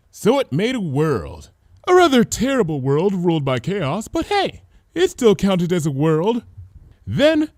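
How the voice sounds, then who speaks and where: A high, wildly animated young mare, proclaiming grand conspiracies as a storybook character. The delivery is theatrical and unhinged, recorded close and dry. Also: male voice, dry recording, heavily acted. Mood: eager lecturing. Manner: dramatic, proclaiming.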